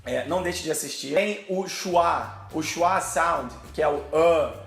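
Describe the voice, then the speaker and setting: A man's voice: on the high side, measured pace, vocal fry, fairly bright tone, reverberant, soft background music. A Brazilian man with a Brazilian Portuguese accent, teaching English pronunciation in a video lesson, posing questions and then answering them.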